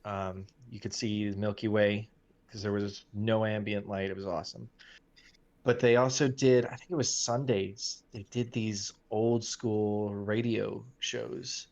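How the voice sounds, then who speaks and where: Man, fairly low pitch, casual chat, halting informal delivery. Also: level tone, a little mellow, muffled recording. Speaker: cast member on a story stream.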